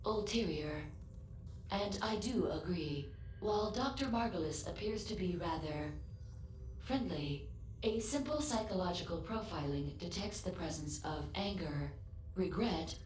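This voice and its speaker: Low, echoey, polite female android. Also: lively intonation, darkish tone, slight background noise. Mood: composed, analytical. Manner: formal, measured, precise diction, matter-of-fact. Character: polite, literal-minded female android ship assistant, loyal and formal. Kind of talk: scripted video game voice acting.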